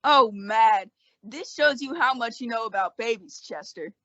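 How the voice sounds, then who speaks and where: A woman's voice: a little high, measured pace, bright, forceful tone, dry recording, highs cut off. A woman in an old-time western radio play, voicing a crusty, teasing, long-winded frontier doctor.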